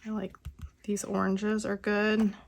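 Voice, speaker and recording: A middle-aged woman with a cold and a slightly hoarse voice, musing aloud in a casual plan-with-me vlog at home. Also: mid-range voice, monotone, a little hiss or hum. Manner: unhurried, musing aloud while crafting. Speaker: planner vlogger. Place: quiet home.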